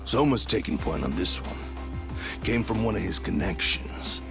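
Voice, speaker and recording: An actor playing a cocky gang thug who taunts and threatens in a video game. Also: male voice, sits low, expressive, normal speed, gravelly. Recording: music playing behind. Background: music.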